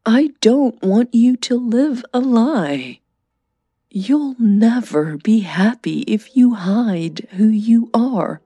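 A woman's voice: on the high side, lively intonation, takes it slowly, warm tone, breathy edge, no echo, voice pushed up, exaggerated voice. A woman voicing a middle-aged mother in an audio drama, blunt yet caring and emotional.

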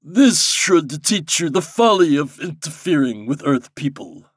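A man's voice: fairly high pitch, very animated, measured pace, breathy edge, dry recording, clean studio sound, voice pushed up, heavily acted. A man voicing a wise, stern fairy king who instructs with authority in a storybook. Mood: stern, commanding.

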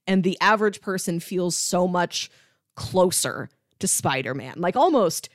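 A woman's voice: lowish voice, expressive, a little slow, no room sound. Woman talking films, close dry sound. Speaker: podcast co-host debating films. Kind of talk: casual film discussion.

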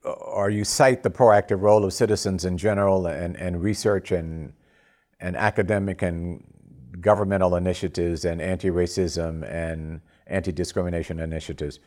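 A man hosting an interview, putting questions in halting, deliberate short fragments. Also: deep voice, fairly even intonation, darkish tone. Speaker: interview host.

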